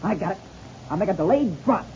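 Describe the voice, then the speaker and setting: Dull noisy old radio recording, eager young daredevil lad, soft mellow tone, adventure serial acting. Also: male voice, sits high, expressive, fairly quick. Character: daring, eager, cheerful young male parachutist kid.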